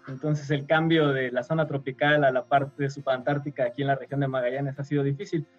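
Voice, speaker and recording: A man from Mexico, a guest scientist on a radio show, explaining patiently with frequent pauses and fillers. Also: medium pitch, little pitch movement, highs cut off, soft background music.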